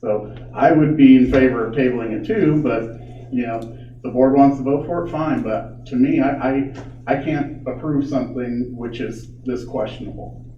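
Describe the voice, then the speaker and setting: A man's voice: medium pitch, normal speed, slight background noise. A man on a board defending himself in a public meeting, indignant and defensive. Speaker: board director. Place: meeting room. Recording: echoey room, distant muffled mic.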